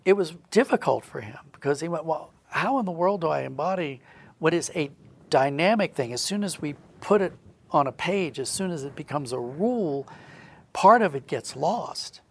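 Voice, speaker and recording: Higher-pitched man with a creaky voice. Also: lively intonation, moderate pace. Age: middle-aged. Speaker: guest, audio drama writer. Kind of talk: reflective conversational interview talk.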